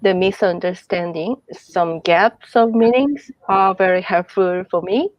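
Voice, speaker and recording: A woman who is not a native English speaker, an artist speaking haltingly and searching for her words in an online meeting. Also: mid-range voice, no echo. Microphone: video call. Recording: dull lo-fi call audio.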